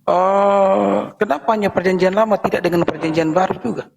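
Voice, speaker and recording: A man with a husky, creaky voice, arguing as a pastor in an informal debate with persistent questions. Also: high voice, fairly even intonation, normal speed, noisy background. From Indonesia.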